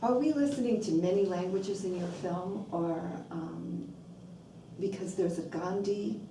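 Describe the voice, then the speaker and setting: A woman's voice: on the low side, unhurried. A woman film critic interviewing a guest, curious and affirming, often saying wow and okay. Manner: curious, warm, frequent affirmations. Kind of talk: interview conversation. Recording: roomy, distant, faint noise.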